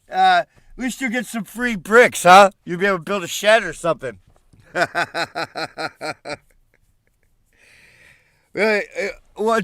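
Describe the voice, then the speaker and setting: A man's voice: sits high, pushed and bright, clear, resonant tone, dry recording, studio quality. A man with a stuffed-up, congested voice, reading news aloud on a live stream and breaking off with mocking, profane asides. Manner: swears freely, mocking asides. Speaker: political commentary streamer.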